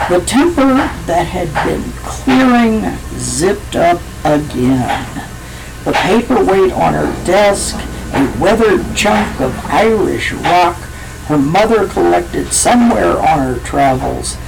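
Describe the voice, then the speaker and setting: A woman's voice: lowish voice, expressive, slow, vocal fry, background noise. A woman reading her own story aloud in a plain, self-deprecating way, now and then stumbling over words. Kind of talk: unhurried story reading, then casual sign-off.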